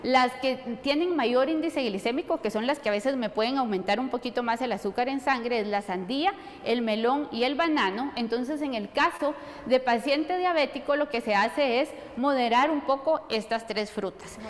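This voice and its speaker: High-pitched woman explaining in a reverberant room. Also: moderate pace, few pauses. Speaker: nutrition expert. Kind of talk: explanatory expert answers to audience questions. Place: studio set. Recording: long hall reverb.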